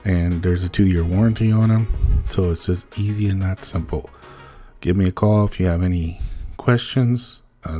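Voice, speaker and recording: A man's voice: deep voice, warm tone. A man presenting a promotional product tutorial in a plain, informal salesman's manner. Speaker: business owner presenting products. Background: music.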